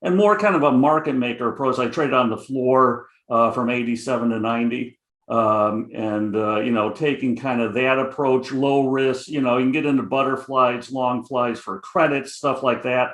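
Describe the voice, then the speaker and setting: A man's voice: mid-range voice, steady tone, moderate pace, reverberant. A middle-aged man with a Chicago accent, a guest options trader talking casually, rambling with many fillers. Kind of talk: casual interview chat.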